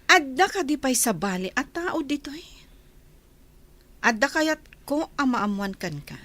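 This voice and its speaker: A woman's voice: high-pitched, big pitch swings, unhurried, fairly bright tone, heavily acted. A woman playing a haughty, bitter, imperious lady of a mansion in an Ilocano radio drama. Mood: haughty, scornful. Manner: imperious, scornful.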